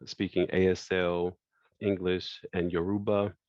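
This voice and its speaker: A man born in France, with French as his first language, moderating an online panel over a video call and talking in a halting way that repeats phrases. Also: sits low, little pitch movement, darkish tone, no echo. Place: indoor room by a wall. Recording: dull lo-fi video call audio.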